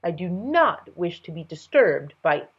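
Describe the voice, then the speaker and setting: A woman's voice: fairly low pitch, very animated, a little slow, slightly rough, dull audio, heavily acted. A woman voicing a playful, commanding king, an amused and imperious man who speaks with emphatic, regal decisiveness. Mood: imperious, decisive.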